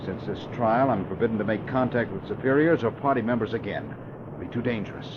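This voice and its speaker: A man's voice: lowish voice, fast, close to an everyday voice. Man playing a smug spy ringleader, noisy recording. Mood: urgent, secretive. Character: audacious, smug male enemy spy ringleader. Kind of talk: old-time radio acting. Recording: noisy, dull vintage radio recording.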